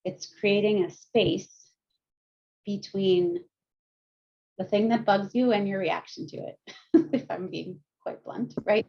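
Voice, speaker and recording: A woman's voice: mid-range voice, slow, gravelly, pauses often, highs cut off. A woman teaching mindfulness to a group on a video call, chatty and self-deprecating, laughing often and speeding up when she's nervous. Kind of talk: guiding a group session over video.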